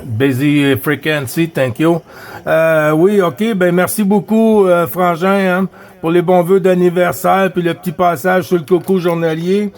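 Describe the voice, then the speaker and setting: A man's voice: high voice, fairly even intonation, a little slow, darkish tone, soft background music. A man with a Quebec French accent running a CB radio session, speaking courteously in operator jargon. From Quebec, Canada. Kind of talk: CB radio contact chat.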